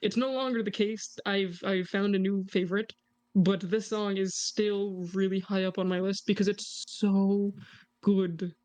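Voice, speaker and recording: A man's voice: sits high, steady tone, a little slow, a little mellow. A man co-hosting a reaction video, casually analysing a song and joking with his audience. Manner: chatty, jokey, points things out to the audience.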